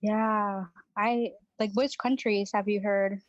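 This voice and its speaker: A young woman guest on a podcast chats loosely about her travels, filling her talk with "like" and quick laughs. Her voice sits at an ordinary pitch and sounds close and dry, with no room around it. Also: steady tone, no room sound, dull audio. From Venezuela. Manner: chatty, laughs often, many fillers. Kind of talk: casual chat.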